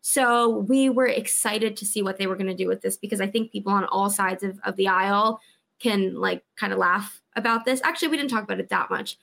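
Brief: hoarse woman panelist, gravelly and chatty. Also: medium pitch, brisk, a bit bright. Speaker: podcast co-host guest. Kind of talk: casual roundtable chat.

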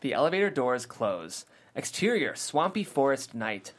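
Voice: medium pitch, big pitch swings, moderate pace, faint music behind. A narrator reading scripted stage directions aloud at a table read.

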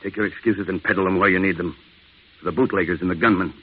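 A man's voice: deep voice, steady tone, fast, husky. A man playing a stern, bitter American priest in an old radio drama, curt and accusing. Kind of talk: 1940s-50s radio drama acting. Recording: thin, muffled vintage radio broadcast.

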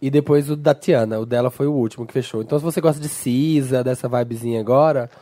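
A man's voice: medium pitch, fairly even intonation, fast talker, dark tone. A man in casual podcast chat, enthusiastically recommending an album.

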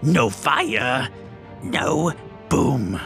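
A man's voice: medium pitch, huge pitch swings, extremely slow, gravelly, fairly bright tone, soft background music, exaggerated voice. A man voicing a pompous, easily exasperated paladin villain leader in a comedic cartoon, speaking grandly. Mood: haughty, wary.

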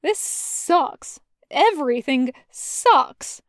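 A woman reading fan fiction aloud as expressive scripted narration. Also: high-pitched, very slow, no echo, studio quality.

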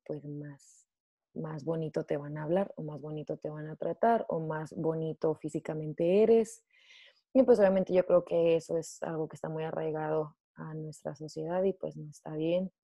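A woman's voice: deep voice, warm tone, dry recording. A young woman from Mexico with a Mexican accent, chatting casually and reflectively, full of fillers. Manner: chatty, many fillers like "o sea" and "pues". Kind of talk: casual conversation.

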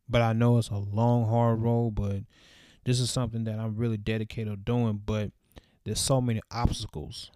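Mellow-voiced young man musing casually. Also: fairly low pitch, no echo, studio quality. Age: 20s. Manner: loose, reflective, lots of fillers. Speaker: vlogger. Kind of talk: casual rambling monologue.

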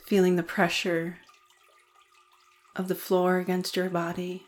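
A woman leading a guided meditation in a soothing, deliberate manner with gentle pauses. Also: fairly low pitch, completely flat delivery.

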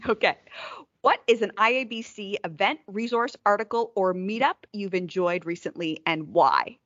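A woman's voice: mid-range voice, normal speed, fairly bright tone, lo-fi sound. A woman hosting an interview podcast, encouraging and upbeat with frequent fillers. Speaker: podcast host.

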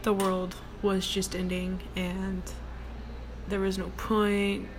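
A young woman with a deep voice hosting her own casual solo podcast from her workplace, rambling with many ums and likes in a relaxed way. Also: slow pace. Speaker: podcast host. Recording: noticeable background noise.

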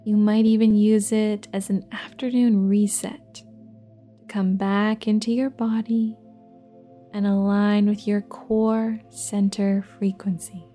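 A woman leading a guided relaxation meditation in a soft, gentle, breathy and soothing voice, leaving long pauses between phrases. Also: medium pitch, level tone. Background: soft new-age music with singing bowls.

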